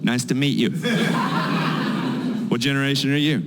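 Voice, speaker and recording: A middle-aged man doing a stand-up comedy set with a playful, deadpan shrugging delivery. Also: medium pitch, takes it slowly. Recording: loud audience laughter.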